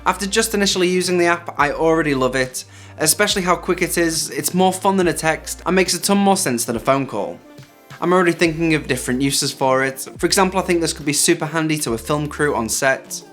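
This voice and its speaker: A man's voice: on the high side, a little fast, a bit bright. A man hosting a tech video, narrating a scripted hands-on review. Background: background music.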